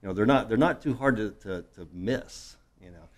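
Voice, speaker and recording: A man's voice: fairly low pitch, normal speed. A man speaking as a council member, making informal, blunt and folksy complaints in a meeting room.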